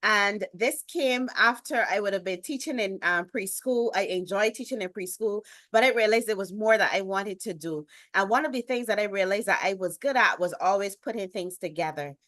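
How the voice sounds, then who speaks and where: A woman from Barbados in her thirties with a Barbadian accent, a guest telling her story in an interview with expressive emphasis. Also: mid-range voice, moderate pace, few pauses, dry recording, clean studio sound. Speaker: guest, business lifestyle strategist. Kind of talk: interview, personal introduction.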